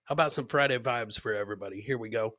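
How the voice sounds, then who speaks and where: A man's voice: medium pitch, very fast, heavy background noise. A man hosting a comedy podcast, commenting casually on clips in a loose way and often repeating his phrases.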